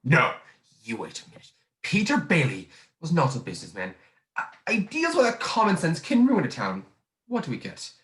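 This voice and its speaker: A man's voice: fairly high pitch, huge pitch swings, normal speed, a bit bright. A man playing a scornful, pompous old banker villain in a 1940s-style radio play. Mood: cold, scornful. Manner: pompous, contemptuous. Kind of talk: 1940s radio drama acting.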